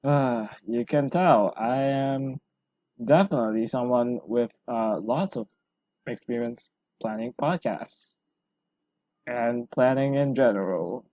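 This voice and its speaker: A man hosting a solo podcast, rambling and self-deprecating, his voice hoarse from talking a long time. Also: mid-range voice, slow pace, dry recording, muffled recording. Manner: rambling, self-deprecating, full of fillers and restarts. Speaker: podcast host.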